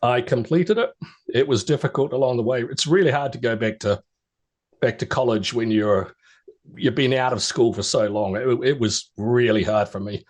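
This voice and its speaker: A man's voice: medium pitch, normal speed. Chatty middle-aged New man. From New Zealand. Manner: rambling storyteller with restarts and stammers. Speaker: podcast guest. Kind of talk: casual interview chat.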